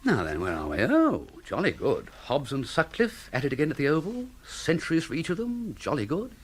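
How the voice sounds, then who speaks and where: Male, idle upper-class English gent, good-natured and excitable, lightish pitch, hugely animated, period radio comedy. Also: fairly quick, slight background noise. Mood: contented. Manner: plummy, exclamatory, chatty. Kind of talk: comic period radio drama acting.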